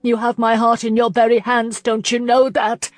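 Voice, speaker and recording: A woman's voice: on the high side, steady tone, fairly quick, no echo, lightly acted. A woman narrator voicing a heartbroken, defiant young woman in a period romance, speaking in impassioned, tearful outbursts. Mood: passionate. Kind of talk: audiobook character voice.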